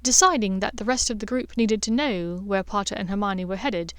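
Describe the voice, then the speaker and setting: A woman's voice: mid-range voice, a little fast, clear, resonant tone, no room sound. A woman reading a scripted story as an audiobook narrator. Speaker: audiobook narrator of fan fiction.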